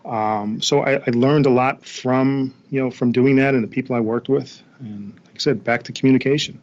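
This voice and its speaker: Through a slightly muffled, lo-fi connection, a middle-aged New man talks as a podcast guest. His pitch is average, and he speaks plainly and haltingly, with plenty of filler phrases. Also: fairly even intonation. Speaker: interview guest, accountant and former police officer. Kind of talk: casual interview talk. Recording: dull, lo-fi remote connection.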